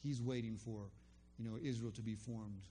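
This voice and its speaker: A man's voice: fairly low pitch, steady tone, moderate pace, dark tone, slight background noise. An older man telling a personal faith story in a loose, rambling way, full of ums and restarts. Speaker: storyteller recounting a faith conversation. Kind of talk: casual spoken testimony.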